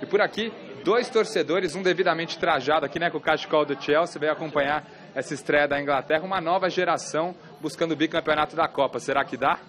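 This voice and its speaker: A man's voice: on the high side, fast talker. A man working as a field reporter, chatting and joking with fans in a crowded pub. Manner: lively, chatty, jokes with fans. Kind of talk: on-location sports report and street interviews. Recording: busy pub crowd behind.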